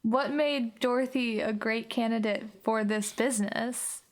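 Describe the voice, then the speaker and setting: A woman's voice: fairly high pitch, fairly even intonation, unhurried. A woman talking casually as a player in a tabletop role-playing game podcast. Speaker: player in an actual play podcast.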